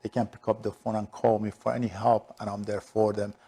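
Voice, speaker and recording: Middle aged iranian guy, persian accent, gravelly creaky voice, answering interview questions. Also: fairly low pitch, level tone, a little fast, dark tone. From Shiraz, southern Iran. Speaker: interview guest, real estate broker.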